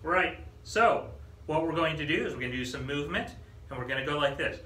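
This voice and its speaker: An American man in his thirties leads a children's storytime as a librarian, speaking in an animated, exaggerated way for young listeners. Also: on the high side, a little fast. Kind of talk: children's storytime, leading rhymes and movement songs. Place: small indoor meeting room with whiteboard.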